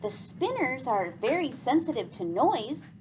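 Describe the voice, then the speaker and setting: A woman voicing a grave, courteous male elder in a storybook, anxious and reluctant, with hushed formal phrasing. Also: sits high, sing-song, unhurried, slight background noise, voice pushed up. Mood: thoughtful.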